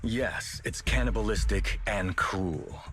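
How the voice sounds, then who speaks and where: Low-voiced, scheming game villain. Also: male voice, fairly bright tone, soft background music. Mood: grim. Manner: measured, condescending, theatrical. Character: cold scheming male tiger-clan villain, mocking and theatrical. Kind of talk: dramatic video game voice acting.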